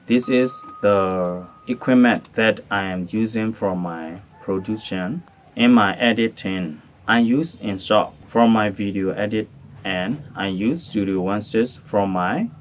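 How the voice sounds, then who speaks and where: An English-speaking man from Thailand, making a formal, careful prepared appeal as a channel creator. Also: lowish voice. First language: not English. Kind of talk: prepared spoken appeal to camera.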